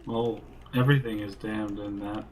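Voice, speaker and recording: A man's voice: lowish voice, level tone, moderate pace, darkish tone. A man making casual remarks in a group voice chat, picked up by a distant microphone. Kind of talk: casual banter. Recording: distant mic, faint noise.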